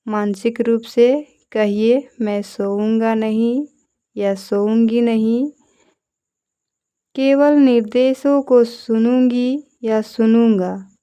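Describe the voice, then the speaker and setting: A woman leading a guided yoga nidra meditation as an instructor, calmly giving short, deliberate instructions. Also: fairly high pitch, clear, resonant tone.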